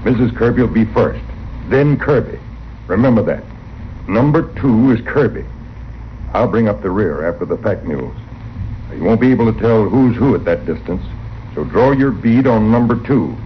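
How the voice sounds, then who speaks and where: Man acting a cold scheming outlaw, low voice, deliberate, menacing, radio western, muffled recording. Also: lively intonation, lightly acted. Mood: cold, plotting. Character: cold, scheming outlaw giving murder orders. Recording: dull, hissy old radio recording.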